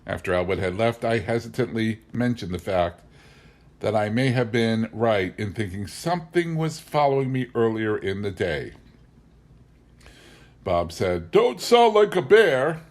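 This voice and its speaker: A man with a fairly low voice reads a humorous memoir aloud. His delivery is scripted and measured, with a wry storyteller's turn to the phrasing. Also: expressive, darkish tone. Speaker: audiobook reader.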